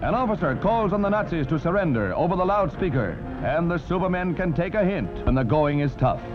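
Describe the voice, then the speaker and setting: A man's voice: on the high side, lively intonation, fairly quick, lo-fi sound. An American man reading a scripted 1940s newsreel narration in a dramatic, declamatory style over a vintage soundtrack. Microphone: studio voice-over. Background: loud orchestral music.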